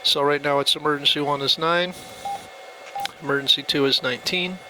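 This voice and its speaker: A man's voice: on the high side, steady tone, a little fast. A man giving a casual, plainspoken step-by-step tutorial as he demonstrates a device. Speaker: product demonstrator. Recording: faint background noise.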